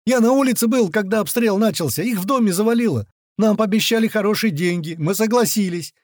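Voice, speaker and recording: A man's voice: sits high, moderate pace, a little mellow, no echo, studio quality, pitched above the performer's own voice. A man voicing a terrified young male prisoner in an audiobook, frantic, pleading and stammering with fear. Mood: frantic, confessing. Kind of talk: dramatic audiobook character voice.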